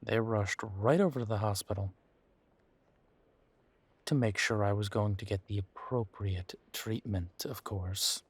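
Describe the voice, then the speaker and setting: A man playing a bitter, wry young werewolf in an audio roleplay, talking haltingly and sardonically as if in intimate one-sided conversation. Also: fairly low pitch, breathy edge, no room sound. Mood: sarcastic. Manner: halting, sardonic, conversational with sighs and repeated words.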